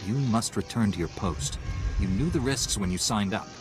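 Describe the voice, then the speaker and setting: An actor playing a firm, self-assured Jedi knight who speaks formally and with command in a video game. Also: male voice, lowish voice, moderate pace, over music, natural voice. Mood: reproving.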